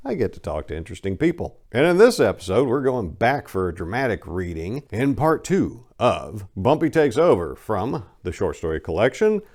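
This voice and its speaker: A man's voice: on the low side, very animated, normal speed, hardly any pauses, darkish tone. A man hosting and narrating scripted dramatic readings in a theatrical, emphatic way. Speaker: channel host and story narrator.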